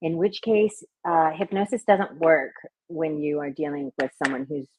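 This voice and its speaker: A woman working as a hypnotist, talking in an interview with a soft, soothing voice. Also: deep voice, normal speed, slight background noise, poor audio. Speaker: hypnotist and party performer. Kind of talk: conversational interview answers.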